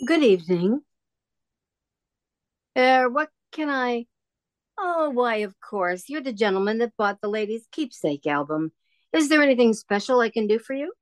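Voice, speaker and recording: A woman's voice: fairly high pitch, expressive, moderate pace, pauses often, dry recording, lightly acted. A woman playing a genial, wistful older shopkeeper in an audio drama, chatty and nostalgic.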